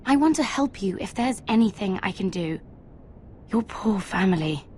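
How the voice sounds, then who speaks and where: A woman's voice: mid-range voice, lively intonation, normal speed, darkish tone, slight background noise, natural voice. A woman voicing a sympathetic, eager young handmaiden in a video game drama, earnest and polite.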